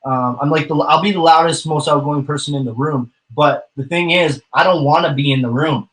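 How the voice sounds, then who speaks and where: A man's voice: a little high, a little fast, fairly bright tone, low-quality recording. A man chatting casually as an interview guest, rambling with many fillers and the odd curse. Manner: rambling, frequent fillers and swearing. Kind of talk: casual chat.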